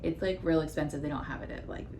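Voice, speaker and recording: Casual female co-host, thirties, American, expressive with playful exaggerated quotes, faint room noise behind. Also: low-pitched, fast. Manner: animated, acting out quotes in exaggerated voices. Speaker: co-host of a TV discussion show. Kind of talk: casual chat. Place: living room, on a couch at home.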